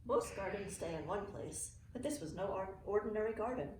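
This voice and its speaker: A middle-aged woman, a teacher reading a children's picture book aloud with expressive, clearly phrased delivery for young listeners. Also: mid-range voice, a little fast. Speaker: early learning teacher reading to students. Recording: roomy, distant mic with faint noise.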